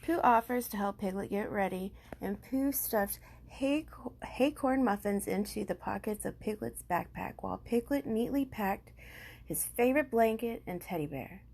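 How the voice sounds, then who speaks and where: Female amateur storybook reader, mid-pitched, expressive, slightly stumbling. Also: normal speed, gravelly, husky. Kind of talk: children's storybook read-aloud.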